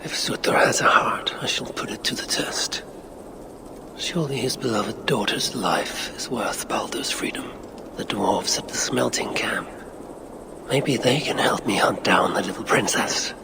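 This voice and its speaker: An actor playing a stern Norse god and father in a video game, speaking in a measured, formal, resolute way. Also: lowish voice, vocal fry, in a whisper, rough recording. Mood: brooding, muttering. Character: stern Norse god father hunting for vengeance, one-eyed. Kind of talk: video game cutscene acting.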